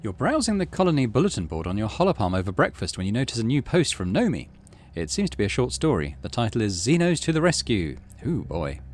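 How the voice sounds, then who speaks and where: A man's voice: mid-range voice, big pitch swings, fast, few pauses, slight background noise. A man doing casual game commentary, thinking aloud and reading game text out as the host of a gaming channel.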